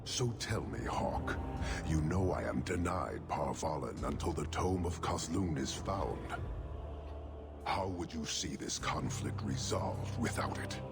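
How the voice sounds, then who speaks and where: A man playing an imposing, stern horned giant warlord in a fantasy game, speaking in a deliberate, scornful, declamatory way. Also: rumbling low, creaky, heavily acted. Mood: measured, challenging. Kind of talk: dramatic fantasy game acting. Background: loud dramatic music.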